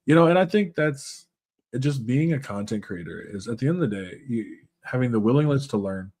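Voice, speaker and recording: A man hosting a casual podcast, relaxed and rambling, laughing easily. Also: medium pitch, fairly quick, slightly rough. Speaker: podcast host.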